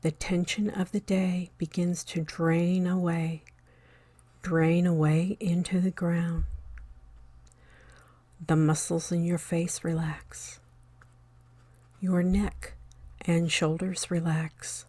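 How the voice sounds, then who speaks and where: A woman narrating a scripted guided meditation in a soothing, measured way, with a soft voice that often fades toward a whisper and long pauses between short phrases. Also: on the low side, level tone, no echo.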